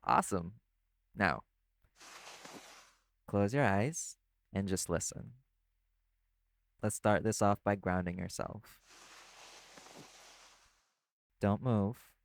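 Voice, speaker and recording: A man playing a laid-back, caring vampire in an audio roleplay, talking the listener toward sleep in a casual, gently instructive way. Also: fairly low pitch, takes it slowly, long pauses, no room sound. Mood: calm, soothing. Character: laid-back male vampire companion, teasing yet caring.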